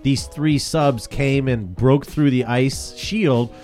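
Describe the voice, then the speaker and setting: A man's voice: mid-range voice, takes it slowly, darkish tone, soft background music. A man hosting a live online show, joking, laughing often and reading out names from the chat. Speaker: host.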